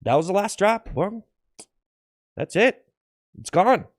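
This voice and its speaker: A man's voice: high voice, lively intonation, slow pace, no echo, pitched above the performer's own voice. A man acting out a wistful farewell ride on the last gasoline in a comedy skit, sentimental and repetitive. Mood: fretful, fading.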